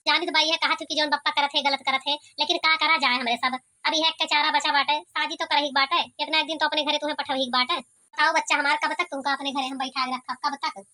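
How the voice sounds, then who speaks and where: Woman acting cartoon village mother, Awadhi accent, protective, plaintive, high bright voice, quick delivery. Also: flat delivery. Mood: sorrowful lament. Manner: plaintive, pleading, scolding. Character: protective, anguished village mother of two grown daughters.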